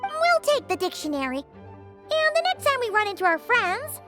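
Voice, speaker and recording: A woman voicing a tiny, excitable, girlish fairy companion in a video game, with a squeaky childlike voice. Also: normal speed, music in the background. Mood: resigned, agreeable. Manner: refers to herself in third person, exclaims.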